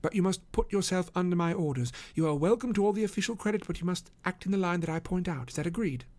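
A gentleman detective from a storybook, voiced by a male narrator. He speaks in a raised, precise voice, commanding and self-assured with quiet amusement underneath. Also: high voice, quick pace, voice pushed up, natural voice. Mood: commanding, brisk.

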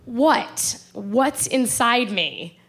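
A worn-out young woman in a horror radio drama. She has a high voice and takes her time over words, sounding earnest, strained and exasperated with her partner. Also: expressive, extremely slow, a bit bright, heavily acted. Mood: defensive, exasperated. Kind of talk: horror radio play acting.

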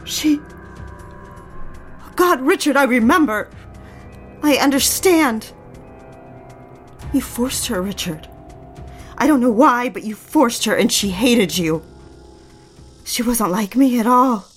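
An actress playing a fragile, haunted young wife in an audio drama, halting and anguished in a melodramatic way. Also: female voice, on the high side, animated, soft background music.

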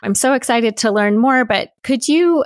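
A woman's voice: on the high side, lively intonation, moderate pace, no echo, studio quality. A woman hosting an interview, introducing her guest in an enthusiastic, friendly way. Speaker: podcast host.

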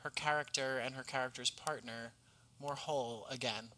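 A man's voice: medium pitch, fairly even intonation, normal speed, pushed and bright, rough recording. A man speaking as a podcast guest in a conversational interview, earnest and effusive in manner. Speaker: podcast guest, audio drama writer and actor.

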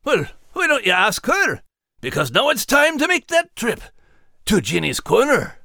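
A cheeky Scottish animal host for a children's story podcast. The delivery is folksy and teasing, with hugely varied pitch. The sound is dry and close. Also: male voice, wildly swooping pitch, unhurried, creaky, sharp tone, no echo, exaggerated voice. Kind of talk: scripted children's podcast character banter.

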